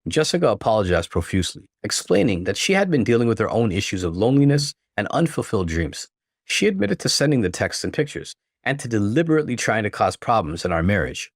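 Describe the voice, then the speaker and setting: A man reading a first-person story as narrator, in an expressive, emphatic and dramatic manner. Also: on the low side, quick pace, no room sound, studio quality.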